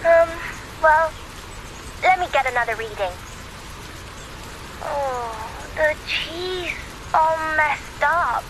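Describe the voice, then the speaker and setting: A woman voicing a perky, quirky young reader of omens in a video game, playful, breezy and expressive. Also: sits high, slow pace, pauses often, background noise. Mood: musing, chatty.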